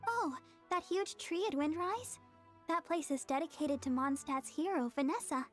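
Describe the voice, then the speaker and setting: Woman playing a high-voiced, caring young church maiden. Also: moderate pace, soft background music, close to an everyday voice. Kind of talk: anime video game voice acting.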